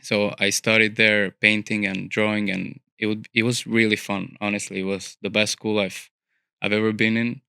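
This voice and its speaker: A man's voice: lowish voice, flat delivery, normal speed, gravelly, bright, forceful tone, smooth, no echo, clean studio sound. A young man from Albania, an architecture student, chatting as a podcast guest in a thoughtful way with many fillers and restarts. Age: young adult. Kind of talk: casual interview conversation. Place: studio.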